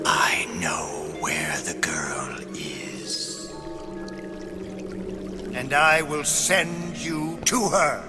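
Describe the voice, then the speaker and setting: An actor playing a flamboyant, menacing mad artist in a video game, with grandiose, florid delivery that lurches between whispers and shrieks. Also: male voice, medium pitch, fairly even intonation, very slow, loud music behind the voice. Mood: sly, menacing. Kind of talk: theatrical video game villain acting.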